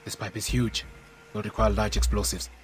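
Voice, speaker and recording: An actor playing a stern army major in a video game, speaking gravely and formally. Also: male voice, on the low side, level tone, normal speed, natural voice. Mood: grave, resolute. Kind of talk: video game cutscene acting. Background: music.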